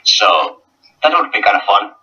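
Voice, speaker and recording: A young man from Finland with a Finnish accent, a guest coach talking in a casual online interview, halting with many fillers and restarts. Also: on the low side, big pitch swings, bright, forceful tone, husky, far from the mic, highs cut off.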